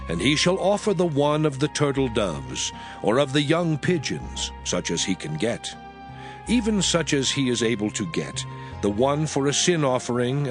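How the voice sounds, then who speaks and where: A man narrating scripture in a measured, expressive reading. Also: mid-range voice.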